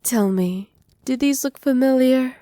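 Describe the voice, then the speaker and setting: A woman playing a doting, possessive witch in an ASMR roleplay, speaking softly with teasing, condescending affection. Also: sits high, a little slow, no room sound, studio quality. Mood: delighted, teasing. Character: doting, possessive witch mistress pampering her captive pet. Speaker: voice actor.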